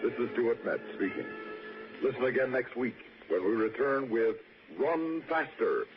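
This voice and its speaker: A man's voice: mid-range voice, lively intonation, unhurried, lo-fi sound, faint music behind. A man announcing formally in the style of 1960s network radio. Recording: old 1960s radio broadcast, band-limited.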